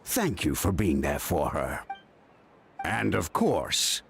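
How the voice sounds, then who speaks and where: A man's voice: low voice, wildly swooping pitch, slow, vocal fry, a little hiss or hum, heavily acted. A man voicing an exasperated, affectionate middle-aged uncle in a video game, scolding and chatty. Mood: fondly worried.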